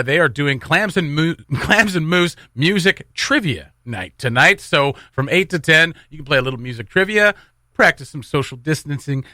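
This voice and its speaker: A man's voice: fairly high pitch, sharp tone, no echo, studio quality. A man hosting a morning radio show, chatting casually and jokingly, rambling loosely between community announcements.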